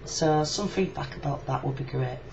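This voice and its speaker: Creaky-voiced Yorkshire woman, muffled noisy recording. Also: a bass voice, moderate pace. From Yorkshire, England. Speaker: video blogger. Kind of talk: casual personal video reply, opinion talk. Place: indoors in a room. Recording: muffled lo-fi webcam audio, clear background noise.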